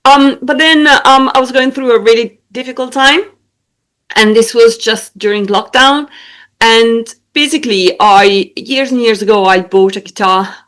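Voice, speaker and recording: A woman's voice: fairly high pitch, unhurried, a bit bright. A young woman with an Italian accent, a singer-songwriter chatting casually in an interview, with lots of fillers and hesitations. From Italy. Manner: laughs easily, many fillers and hesitations.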